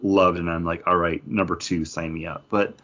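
A man's voice: sits low, steady tone, normal speed, fairly bright tone. A man co-hosting a podcast, chatting casually and informally. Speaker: podcast co-host. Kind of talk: casual chat about comics and craft beer. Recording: slightly dull, lo-fi podcast audio.

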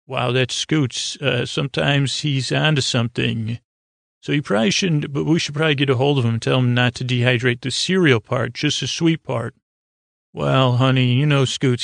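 Doting, dim, well-rested spouse played by a man: creaky, halting, affectionate breakfast musing for a podcast skit, dry sound. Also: mid-range voice, no room sound, natural voice. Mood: admiring, affectionate. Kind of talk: improvised comic dialogue.

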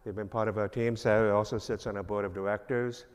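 A man's voice: fairly low pitch, flat delivery, quick pace, clean tone. Older guy w/ new york accent, rambly preacher, lots of uhs, big echoey church hall. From New York, Long Island. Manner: rambling, filler-heavy, self-deprecating. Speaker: guest speaker, minister. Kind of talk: informal church address. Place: church sanctuary. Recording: long reverb of a church hall.

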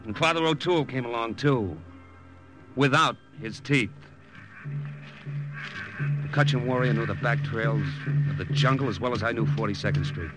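An actor playing a wry, urgent American army officer in a clipped, hard-boiled old-time radio war drama. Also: male voice, medium pitch, normal speed, long pauses, music in the background, lightly acted. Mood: tense narration. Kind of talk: 1940s-style radio drama acting and narration. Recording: very noisy vintage radio recording.

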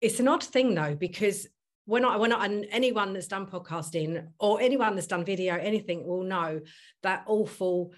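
A woman's voice: medium pitch, normal speed. Chatty middle-aged woman podcast host. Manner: chatty, self-deprecating, restarts often. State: a bit husky today. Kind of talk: podcast intro and casual chat.

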